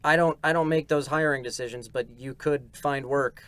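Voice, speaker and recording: A man's voice: medium pitch, steady tone, moderate pace, fairly bright tone. A man hosting a livestream in a small recording room, chatting informally and reading out viewer messages with effusive thanks. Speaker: livestream host and voice performer. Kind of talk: casual chat, reading out viewer donations.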